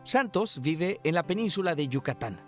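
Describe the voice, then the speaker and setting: A man narrating a TV news report in a clear, measured scripted style. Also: on the high side, big pitch swings, faint music behind.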